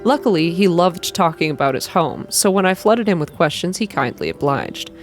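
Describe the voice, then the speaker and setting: A woman with a fairly low voice narrates a story in an ASMR style. She reads deliberately and expressively, drawing out phrases in first person. Quiet music plays behind her. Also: sing-song. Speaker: reader of a visual novel. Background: background music.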